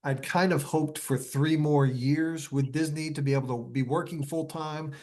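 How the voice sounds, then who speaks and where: A man's voice: a little high, steady tone, normal speed, a little mellow. A man speaking as an author guest in a casual interview, stringing thoughts together loosely and repeating words. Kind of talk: casual, reflective conversation.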